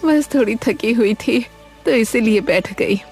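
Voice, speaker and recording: A woman voicing an anxious, devoted elderly village mother in an animated story, hesitant and pleading. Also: on the high side, a little slow. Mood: humble, tender. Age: older. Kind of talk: Hindi animated story dialogue. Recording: music under the voice.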